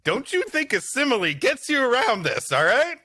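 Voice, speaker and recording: A man co-hosting a comedy podcast, riffing casually in an animated, mock-indignant way. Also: very high-pitched, moderate pace, slightly rough, no echo, studio quality. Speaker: podcast co-host. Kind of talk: casual comic banter and riffing.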